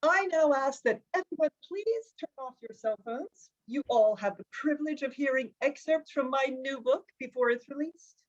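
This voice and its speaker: A woman's voice: high voice, moderate pace, dull audio, lightly acted. A woman acting a pushy, self-important author in her fifties, grand and commanding, in a drama table read. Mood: grandly bossy.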